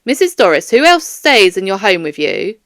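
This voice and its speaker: Lady doing a formal cop grilling a suspect in an audiobook, firm and strict, gravelly edge. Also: mid-range voice, big pitch swings, fairly bright tone, dry recording, clean studio sound, voice pushed down. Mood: firm, official. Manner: firm, measured, official. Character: stern, formal police detective interrogating a suspect.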